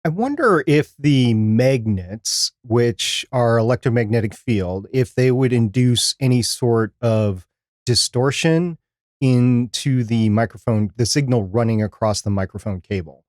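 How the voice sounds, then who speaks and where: Man, medium pitch, podcast co-host, casual technical chat, measured and speculative, dry studio-like sound. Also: expressive, darkish tone, dry recording.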